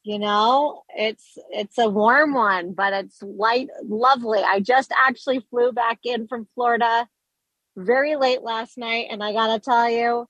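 A woman with a husky, breathy voice talks in a bubbly, chatty way as a radio guest. The sound is dull and lo-fi, like a call-in line, and very dry. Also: fairly high pitch, steady tone, a little slow, a bit bright, dry recording. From Colorado. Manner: bubbly and chatty, laughs easily. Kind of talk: casual interview chat.

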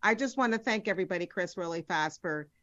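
Female radio co-host, lowish voice, brisk and supportive, dry dull audio. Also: fairly bright tone. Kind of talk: casual radio chat.

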